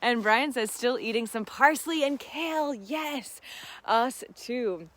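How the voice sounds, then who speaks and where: A woman's voice: on the high side, slow pace, fairly bright tone. A woman hosting a casual live question-and-answer stream, talking to viewers in an effusive, gushing, giggly manner. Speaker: host of a live seed-farm Q and A. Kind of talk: casual livestream chat with viewers.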